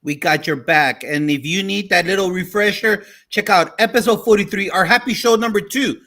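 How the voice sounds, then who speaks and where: A man co-hosting a talk show in an upbeat, energetic, punchy and exclamatory way. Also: high voice, normal speed.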